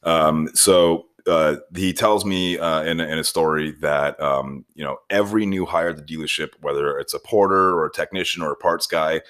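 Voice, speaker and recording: A man hosting a podcast in casual chat, explaining things haltingly with many fillers. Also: a bass voice, fairly even intonation, a bit bright. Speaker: podcast host.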